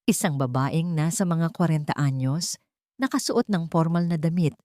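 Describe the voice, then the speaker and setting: A woman's voice: sits low, expressive, normal speed, darkish tone, no echo, clean studio sound. A woman narrating a scripted story in a dramatic storytelling style.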